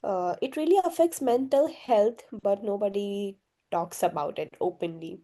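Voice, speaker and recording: A woman's voice: medium pitch, unhurried, warm tone. A young woman from Gujarat, India, a native Gujarati speaker and university student, answering interview questions casually with many hesitant fillers. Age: young adult.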